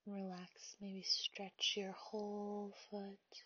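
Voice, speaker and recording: A woman leading a guided meditation, speaking softly in a half-whisper, slow and soothing, on a dull, dry, lo-fi recording. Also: mid-range voice, completely flat delivery, husky, no room sound.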